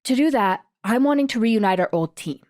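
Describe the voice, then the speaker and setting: A woman's voice: a little high, expressive, fairly quick, darkish tone, no room sound, clean studio sound, natural voice. A woman playing a guarded, determined young mother in an audiobook drama, speaking with restraint and firmness. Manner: restrained, firm. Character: guarded, determined super-powered mother standing up to ex-husband. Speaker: audiobook voice actor.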